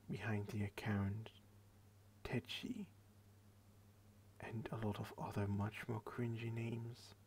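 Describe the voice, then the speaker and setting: A tired young man hosting a late-night ASMR video, rambling in a soft half-whisper into a headset microphone at his computer desk. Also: lowish voice, a little hiss or hum. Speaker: channel host.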